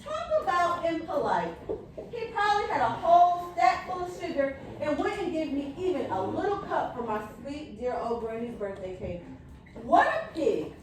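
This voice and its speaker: An indignant, mock-innocent wolf played by a woman pleads his case in storybook style. The voice is high and very animated, with wry, theatrical emphasis. It rings in a very echoey room. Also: moderate pace. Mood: aggrieved, wry. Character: indignant wolf telling his own side, self-pitying, mock-innocent. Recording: very echoey room, distant mic, faint noise.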